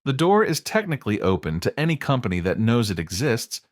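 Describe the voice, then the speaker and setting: A man reading scripted explainer narration in a measured, emphatic way, built on short dramatic statements. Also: mid-range voice, sing-song, no room sound, clean studio sound.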